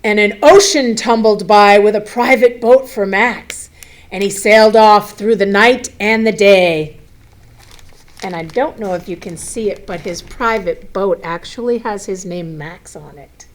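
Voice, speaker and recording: A woman reading a picture book aloud to children, expressive, with chatty asides, questions and simple explanations. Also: mid-range voice, a little slow.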